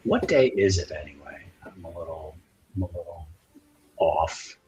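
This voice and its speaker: A man's voice: low-pitched, expressive, normal speed, darkish tone, a little hiss or hum. A man playing a laconic, weary fantasy rogue in a tabletop game, hesitant and understated, often trailing off. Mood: weary, hungover. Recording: music behind the voice.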